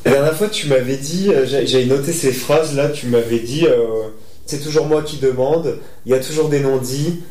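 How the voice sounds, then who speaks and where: A calm man interviewing a student, reading back notes he took earlier. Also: medium pitch, normal speed, roomy sound.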